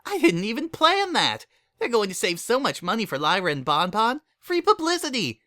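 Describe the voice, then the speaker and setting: A bubbly young female pony party planner acted out by a man in a story reading. The voice is very high and bright, gushing with cheer and swooping with excitement. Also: moderate pace, no room sound, clean studio sound, heavily acted. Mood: excited, gushing.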